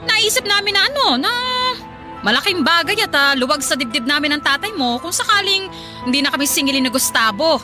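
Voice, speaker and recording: A woman's voice: high voice, animated, fairly quick. A Filipino woman playing a poor, debt-ridden mother in a radio drama, coaxing and guilt-tripping as she presses her case. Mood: anxious, coaxing. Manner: coaxing, guilt-tripping, hesitant then insistent. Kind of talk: Tagalog radio drama acting. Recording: loud music under the voice.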